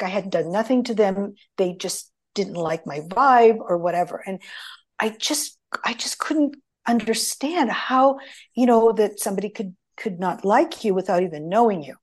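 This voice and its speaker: Middle-aged woman, greek first language, slow unhurried talk, lots of you knows and restarts. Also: mid-range voice, a little mellow. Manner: rambling, many restarts and fillers. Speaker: guest. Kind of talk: conversational storytelling.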